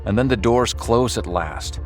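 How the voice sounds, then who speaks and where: A man's voice: medium pitch, expressive, measured pace. A man reading scripted second-person horror-comedy narration in a wry, dramatic storytelling manner. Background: music under the voice.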